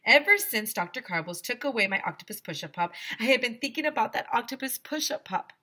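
Bright, animated woman reading a storybook. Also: a little high. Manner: expressive, dramatic, pauses to explain words. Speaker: teacher reading aloud to children. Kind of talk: animated children's storybook read-aloud. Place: small decorated room indoors. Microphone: headset microphone.

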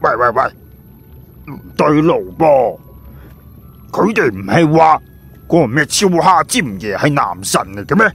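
A man voicing a brash, teasing, jolly adventurer sidekick in an audiobook, with a raspy voice and colloquial, exclamatory banter. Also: a little high, very animated, unhurried, pauses often, a bit bright, voice pushed up, exaggerated voice. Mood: mocking. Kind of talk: audiobook character acting.

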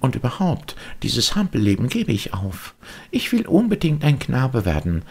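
A man's voice: mid-range voice, wildly swooping pitch, moderate pace, vocal fry, a little mellow, slightly rough, pitched above the performer's own voice, exaggerated voice. A man voicing an eager, naive little wooden puppet boy, exclaiming and pleading in an audiobook. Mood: determined. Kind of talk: audiobook character voice.

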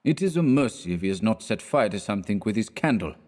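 A man's voice: fairly low pitch, expressive, fast talker, a little mellow. A man voicing a sardonic older male concierge who grumbles with dry irony. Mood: dry ironic.

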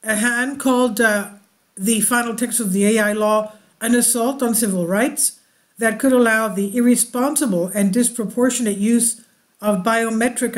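Slow, deliberate woman reading news. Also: fairly high pitch. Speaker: channel host reading news. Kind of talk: reading a translated news article aloud.

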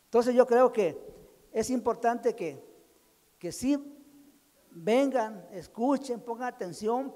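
An older man speaks into a handheld microphone to a crowded community hall, his voice pitched very high. He talks with insistence and repeats key phrases for emphasis. The sound carries a long reverb from the large room. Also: a little mellow. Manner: emphatic, repeats phrases, gestures with raised hand. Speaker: community speaker at a public consultation. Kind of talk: impassioned public address. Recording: echoey hall over a PA.

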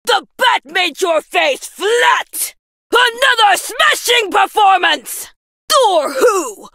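A very high, bright young battle goddess from a video game. She is cocky and fierce, shouting her taunts with big swoops and drawn-out, theatrical delivery. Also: expressive, no echo, clean studio sound, exaggerated voice. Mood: cocky, boastful. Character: brash young thunder goddess brawler, female, boastful and fierce. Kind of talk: video game character voice lines.

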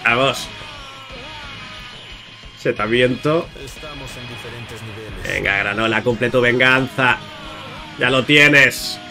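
A man's voice: mid-range voice, fairly even intonation, drawn-out words, pauses often, sharp tone. A man giving casual, chatty video game commentary, speaking informally and directly to his viewers with playful exclamations. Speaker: gaming YouTuber. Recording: music under the voice. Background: music.

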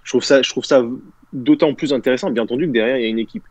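A man's voice: medium pitch, racing, no echo, highs cut off. A man in his thirties talking as a guest on a video call from a small room, rambling with many fillers and restarts. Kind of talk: casual video-call discussion. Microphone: video call, webcam.

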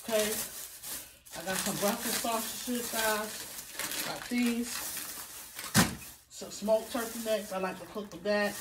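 A middle-aged woman talks her viewers through a grocery haul in a home kitchen, chatty and loose, with frequent "okay?" asides. A phone camera sits a few feet off on the counter, so her voice sounds distant. Kitchen noise and sizzling come through clearly behind her. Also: mid-range voice, slow pace, pauses often. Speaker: vlogger. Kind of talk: casual grocery haul vlog.

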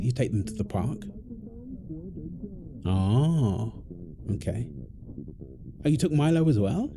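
A man playing an affectionate, tired husband and father in an intimate audio roleplay, talking tenderly with soft endearments. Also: medium pitch. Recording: clear background ambient noise.

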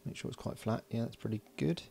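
A man casually talking through hands-on electronics work, unhurried and thinking aloud. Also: mid-range voice, gravelly, warm tone. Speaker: host of an electronics build video. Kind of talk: casual hands-on tutorial narration. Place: indoors at a workbench.

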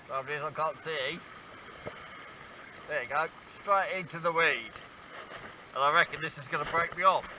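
A man's voice: on the high side, normal speed, pauses often. A man talking to himself while fishing on a stony harbour shore, wry and self-deprecating, filmed on a small handheld camera. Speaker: angler vlogger. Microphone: small handheld action camera. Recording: dull lo-fi camera audio with faint wind.

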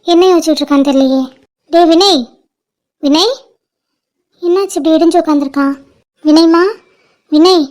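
Brief: a woman as a very high-voiced, worried older sister with a Tamil accent, comforting her crying brother. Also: moderate pace, long pauses, darkish tone. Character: protective elder sister, anxious and affectionate. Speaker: caring elder sister. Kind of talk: short-film drama acting.

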